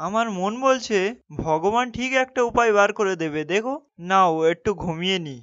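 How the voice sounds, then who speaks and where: A woman's voice: mid-range voice, lively intonation, moderate pace, no echo, lo-fi sound, close to an everyday voice. A woman voicing a humble, pious, poor village man in a fairy-tale cartoon, earnest and reassuring. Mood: hopeful.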